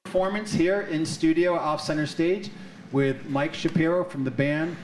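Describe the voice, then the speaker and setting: A man hosting a live studio talk show, talking haltingly with many fillers and restarts. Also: on the high side, steady tone, slight background noise. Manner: halting, full of fillers and repeated starts. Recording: echoey studio.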